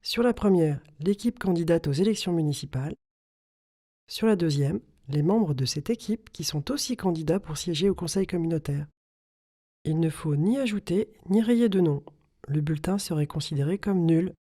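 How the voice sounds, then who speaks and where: A woman reading a scripted informational narration as an explainer, in a clear, didactic manner. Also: fairly low pitch, expressive, moderate pace, mellow.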